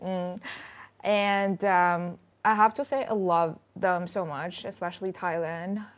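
A woman's voice: lowish voice, fairly even intonation, slow. A woman reporter chatting as a panel guest in an upbeat, talkative way. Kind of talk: casual panel chat.

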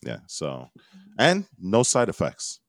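Very deep-voiced laid-back male podcast host. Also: wildly swooping pitch, slow pace, no room sound. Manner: laid-back, rambling with fillers. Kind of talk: casual chat. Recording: dry remote recording.

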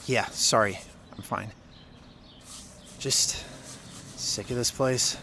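Wry fed up young reporter, medium voice, audio drama, bit of background noise. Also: male voice, mid-range voice, steady tone, takes it slowly, sharp tone. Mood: frustrated. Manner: dry, frustrated, wry. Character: sardonic, restless small-town young male reporter. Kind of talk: naturalistic audio drama dialogue.

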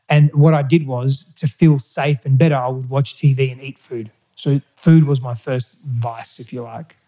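Mellow man, candid and hesitant. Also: medium pitch, rough recording. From Australia. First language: Greek. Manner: candid, hesitant, with restarts and fillers. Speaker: interview guest. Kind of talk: reflective personal storytelling.

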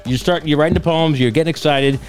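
A man's voice: on the high side, fast, faint music behind, rough recording. A man hosting a radio interview show, talking with enthusiasm. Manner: animated, enthusiastic.

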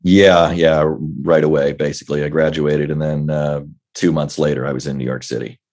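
A man in his forties with a bass voice, a guest actor chatting in a casual interview, rambling with many fillers and restarts. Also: level tone, moderate pace, darkish tone. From Northern Virginia.

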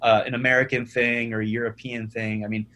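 Young guy, founder on a podcast, upbeat, keeps restarting sentences, regular pitch. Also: a little fast. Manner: energetic, repeats words when starting sentences. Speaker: startup founder, guest. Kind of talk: conversational podcast interview.

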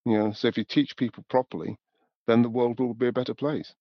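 A man, talking thoughtfully and in a measured way as an interview guest. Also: mid-range voice, fairly even intonation, no echo, dull audio. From north of England, born in Newcastle. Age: 70s. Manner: thoughtful, measured, with repeated "you know". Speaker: podcast guest, music industry professional. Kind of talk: reflective interview conversation.

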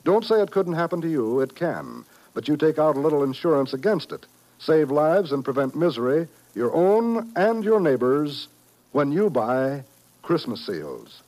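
A man's voice: on the high side, big pitch swings, normal speed, warm tone, low-quality recording. A man working as a 1950s radio announcer, reading a scripted public service appeal in an earnest, persuasive way. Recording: vintage 1950s radio broadcast.

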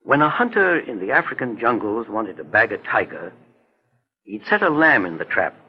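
Calm, authoritative investigator, slightly rough voice, deliberate delivery, dulled vintage radio sound. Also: male voice, medium pitch. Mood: grave, deliberate. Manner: measured, earnest, explanatory. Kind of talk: 1940s radio detective drama acting. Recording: muffled vintage 1940s radio recording.

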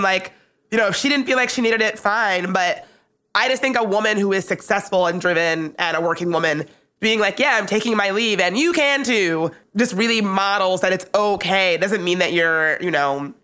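Sniffly, raspy woman with a cold. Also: medium pitch. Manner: animated, opinionated, rambling. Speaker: podcast co-host. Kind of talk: casual conversational podcast.

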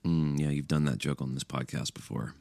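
A man's voice: rumbling low, steady tone, brisk, creaky, darkish tone, dry recording. A male podcast co-host and musician from Alabama, teasing his partner with dry, wry humor. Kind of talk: casual podcast banter.

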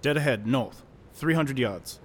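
A man acts as a calm, formal elf in an audio drama. The voice is middling in pitch, terse and dryly composed, over slight background noise. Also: lightly acted. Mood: watchful. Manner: measured, terse, dry. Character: formal, watchful elf lookout, calm under threat.

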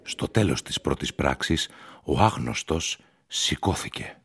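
A man's voice: rumbling low, expressive, fairly bright tone. A man reading a literary story as narrator, in a dramatic, scripted storytelling style. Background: music.

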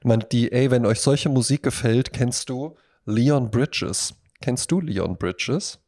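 A man with a mid-pitched voice talks as a podcast host in a casual music chat. He tells things in a chatty, anecdotal way, like recounting stories to a friend. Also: slow pace, darkish tone.

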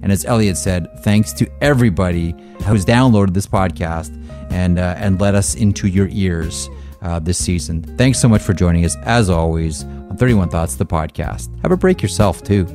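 A nasal-voiced man co-hosting a podcast, chatty and upbeat. His voice sits a little low. Soft music plays behind him. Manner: upbeat, chatty, quick sign-off patter. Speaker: podcast co-host. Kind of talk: casual chat.

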